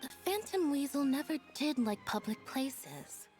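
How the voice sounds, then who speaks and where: A woman voicing a composed young magician's assistant in a video game, understated, deliberate and coolly detached. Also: sits high, expressive, a little mellow, faint music behind. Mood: coldly accusing. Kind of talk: video game character acting.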